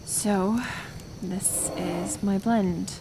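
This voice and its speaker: A woman playing a kind, stubborn forest healer in an ASMR roleplay, speaking gently and hesitantly, caring but persistent. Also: mid-range voice, steady tone, extremely slow, noisy background. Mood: gentle, careful. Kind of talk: soft-spoken ASMR roleplay acting.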